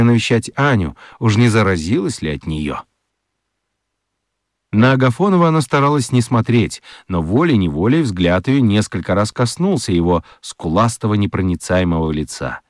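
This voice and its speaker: A man narrating a children's radio drama as an expressive storyteller, reading scripted literary prose. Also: deep voice, no room sound, clean studio sound.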